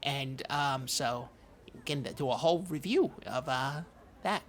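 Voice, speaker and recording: A performer playing an odd, naive, insecure podcast sidekick, rambling and halting in improvised comedy banter. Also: medium pitch, a little hiss or hum, lightly acted. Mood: hesitant. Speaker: podcast co-host.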